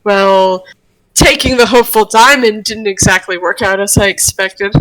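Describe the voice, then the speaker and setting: A woman's voice: mid-range voice, fairly even intonation, moderate pace, a bit bright, lightly acted, poor audio. A woman voicing an earnest, friendly male cartoon devil, chatty and a little hesitant, in an amateur roleplay. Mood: hesitant, caring. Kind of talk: amateur roleplay read-aloud of a cartoon character.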